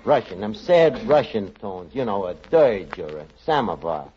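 An actor playing a wisecracking, scheming tavern manager, in a 1940s radio comedy, talking brash and slangy. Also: male voice, fairly low pitch, big pitch swings, unhurried, a little hiss or hum. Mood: sly. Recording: lo-fi old radio broadcast.

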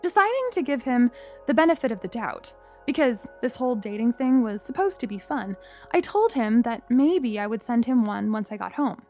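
A woman's voice: a little high, big pitch swings, normal speed, dark tone, soft background music. A young woman from Singapore narrating her own story in a wry, candid, first-person storytelling way.

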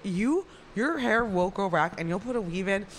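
A woman's voice: mid-range voice, lively intonation, normal speed, a little hiss or hum. A young adult woman chatting casually and gossipily as a podcast co-host, emphatic and leaning on filler "like". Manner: gossipy, emphatic, heavy use of "like". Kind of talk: casual chat.

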